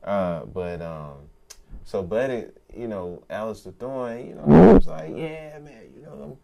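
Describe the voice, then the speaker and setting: A young man with a fairly low, mellow voice trading casual, slang-heavy banter as co-host of a review show. He talks in an animated way and keeps slipping into playful impressions of the characters. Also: a little slow, gravelly. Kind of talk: casual chat.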